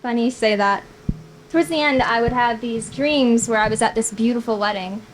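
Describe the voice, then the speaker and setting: A woman's voice: a little high, a little fast, close to an everyday voice, low-quality recording. A woman reading the part of a wistful, teasing young woman at a screenplay table read. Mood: wistful, confiding. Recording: noticeable background noise.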